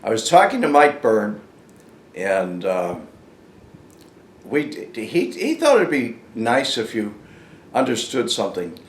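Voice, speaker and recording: An older man telling a casual, unscripted story in a halting, rambling, plain-spoken way. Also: medium pitch, big pitch swings. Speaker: storyteller, former informant hosting his own channel. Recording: echoey room with faint background noise.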